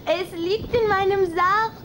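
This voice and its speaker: A woman's voice: very high-pitched, big pitch swings, extremely slow, fairly bright tone, slight background noise, heavily acted. A woman playing a coy, jealous little vampire girl in a children's radio play, teasing and easily piqued. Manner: giggly, coquettish, easily piqued.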